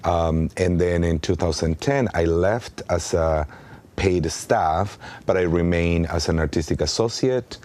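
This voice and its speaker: A man's voice: low voice, measured pace, a little mellow. A man in his thirties from Mexico with a Mexican Spanish accent, a native Spanish speaker, talking earnestly as an interview guest, with frequent ums and restarts. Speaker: artistic director.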